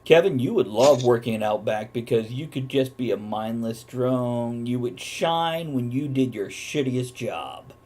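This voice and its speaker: A man's voice: mid-range voice, a little slow, hardly any pauses, a little mellow, a little hiss or hum. A man hosting a casual chat show, talking bluntly and swearing freely as he banters. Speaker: host of a talk show.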